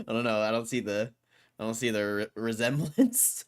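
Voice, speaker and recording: A man running a casual stock market live stream, losing his voice, clearing his throat often and swearing offhandedly as he reads and reacts. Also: medium pitch, big pitch swings, moderate pace. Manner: laid-back, swears casually, mutters while reading.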